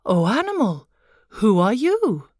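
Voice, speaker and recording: A woman's voice: a little high, big pitch swings, measured pace, a little mellow, no echo. A grand storybook king, played by a woman, declaims with solemn weight. He sounds wise yet humble, and the recording is dry and close. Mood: astonished.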